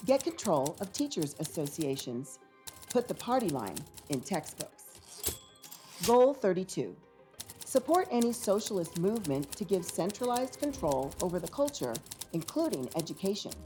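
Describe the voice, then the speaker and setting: A woman's voice: lowish voice, dark tone. A woman narrating a documentary in a scripted, declarative way, reading out a list.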